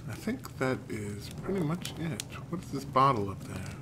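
A man's voice: mid-range voice, big pitch swings, moderate pace, very noisy. A man streaming a horror game, chatting and reading in-game notes aloud, sometimes stumbling over names. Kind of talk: let's play commentary and reading notes aloud.

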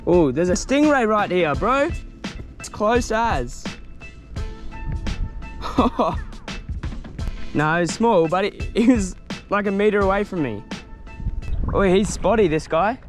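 A young Australian man with a fairly high, very animated voice, reacting out loud as he fishes in mangrove shallows. He laughs often and talks in loose, slangy bursts, recorded outdoors on a camera mic. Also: slow pace, long pauses, faint music behind. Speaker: fishing vlogger. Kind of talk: casual on-the-spot narration.

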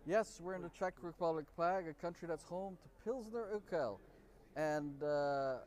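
A man's voice: on the high side, moderate pace, a little mellow. Jokey man hosting outdoors at a bar. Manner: loose, jokey, stumbles over names. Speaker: podcast co-host. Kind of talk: casual chat reading from a prompter. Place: outdoor courtyard of a city bar.

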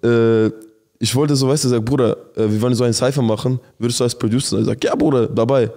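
Halting man. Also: mid-range voice, steady tone, warm tone. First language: Russian. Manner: halting, repeats words, street slang. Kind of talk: casual chat.

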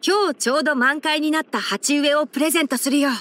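High, boyish young forest ranger. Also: female voice, fast talker, fairly bright tone. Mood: pleased, warm. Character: young male fox-eared forest ranger chief, clever, gentle. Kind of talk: Japanese game character voice-over.

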